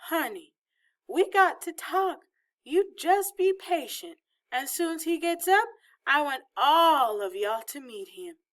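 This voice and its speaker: A woman's voice: sits high, expressive, slow, pitched above the performer's own voice, heavily acted. A woman voicing a feisty, bossy, affectionate old Southern grandmother in a storybook reading, with a folksy, exclaiming manner. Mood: coaxing. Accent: Southern American. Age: elderly. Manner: folksy, scolding, loudly exclaiming.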